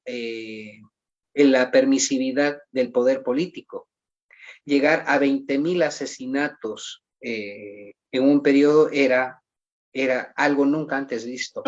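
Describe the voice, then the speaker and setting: A man answering interview questions at length in a measured, formal way, often pausing with "eh". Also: on the high side. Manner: measured, formal, frequent "eh" pauses. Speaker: guest. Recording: dull lo-fi audio.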